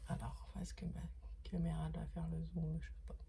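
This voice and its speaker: Hoarse low-voiced woman. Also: monotone, fairly quick, dark tone, slight background noise. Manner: hesitant, many fillers and restarts; drops to a whisper at times. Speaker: vlogger unboxing craft supplies. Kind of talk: casual unboxing talk.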